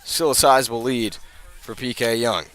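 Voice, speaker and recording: A man calling live American football play-by-play for radio, moving between measured narration and raised, excited calls. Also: mid-range voice. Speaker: play-by-play sports commentator. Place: outdoors at a high school football field. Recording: noisy outdoor stadium, live broadcast.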